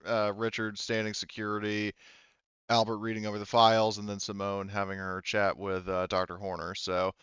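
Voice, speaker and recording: A man running a tabletop game as game master, narrating casually in an informal, halting way as he thinks aloud. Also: on the low side, monotone, a bit bright, clean tone, dry recording, muffled recording.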